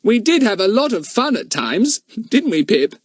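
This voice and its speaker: A man voicing a confident, cheerful schoolboy who sounds bright and eager. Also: sits high, very animated, normal speed, slightly rough, dry recording, studio quality, voice pushed up, heavily acted.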